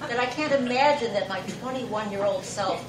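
A woman's voice: a little high, normal speed. An older woman telling a wry, self-mocking personal story on stage. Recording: distant echoey hall with loud crowd and noise.

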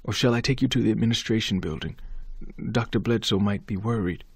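A man's voice: fairly low pitch, a little fast, gravelly, breathy edge, no echo, clean studio sound. A man voicing an anxious young male student who pleads, stammers and keeps saying sir. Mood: nervous.

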